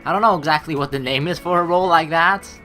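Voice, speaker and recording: A woman doing chatty, slangy let's play commentary and reading game story text aloud with expressive reactions. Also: deep voice, fast talker, music in the background.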